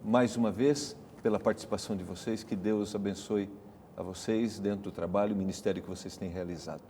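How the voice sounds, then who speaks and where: A man's voice: fairly low pitch, steady tone, fairly quick, mellow, in a large hall, a little hiss or hum. A man with a Brazilian accent hosting a religious study discussion program. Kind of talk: televised Bible study discussion.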